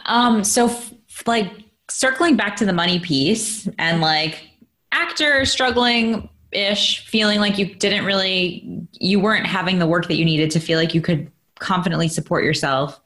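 A woman interviewer asking questions in a relaxed, encouraging way, with hesitant fillers. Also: mid-range voice, measured pace. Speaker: podcast interviewer.

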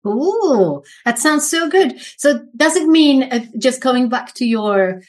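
A woman hosts an interview and draws her guest out with encouraging questions. Her voice sits a little higher than usual, and soft "um" and "mm" fillers keep things friendly. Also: expressive, takes it slowly. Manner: warm and encouraging, fills with "um" and "mm". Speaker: interview host. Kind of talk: interview hosting.

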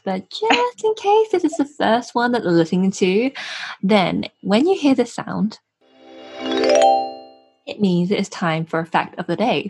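A woman's voice: medium pitch, breathy edge. A woman hosting a podcast, gushing and affectionate, laughing often as she talks to her listeners. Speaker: podcast host. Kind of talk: warm podcast interview.

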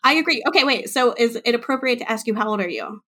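Woman, late thirties, podcast host, slightly high voice, chatty, giggly, self-mocking. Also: expressive, fast, fairly bright tone. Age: 30s. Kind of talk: casual interview chat.

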